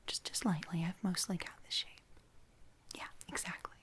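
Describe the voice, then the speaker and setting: A woman whispering gently and haltingly in a soft-spoken ASMR personal-attention roleplay, playing an attentive makeup artist. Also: on the low side, fairly even intonation. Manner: gentle, hushed, unhurried, halting with soft pauses.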